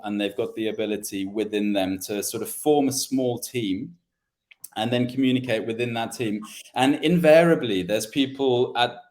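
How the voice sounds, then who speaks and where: A man who teaches English, hosting a panel and thinking aloud in a measured way with hedging fillers. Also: fairly low pitch. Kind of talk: conversational panel discussion.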